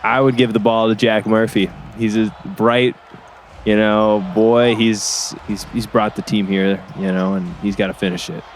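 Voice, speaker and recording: An actor playing an excitable, folksy small-town color commentator at a basketball game, with halting, repetitive phrasing. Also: male voice, on the low side, slight background noise, lightly acted. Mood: chatty. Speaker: sports commentator. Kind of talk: improvised-sounding basketball commentary in an audio drama.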